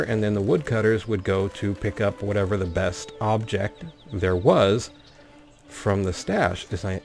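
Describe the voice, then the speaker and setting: A man's voice: medium pitch, creaky, darkish tone. A man hosting a gaming video, commenting on play and reading the game's story text aloud. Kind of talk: let's play commentary mixed with reading in-game narration aloud.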